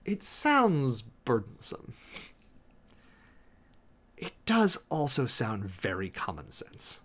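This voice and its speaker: Male livestream host, medium pitch, highly animated. Theatrical reader and analyst, draws words out for emphasis, dryly sarcastic. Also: husky. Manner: theatrical, emphatic, with drawn-out words and sudden swells. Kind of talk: dramatic reading with close analytical commentary to a live chat.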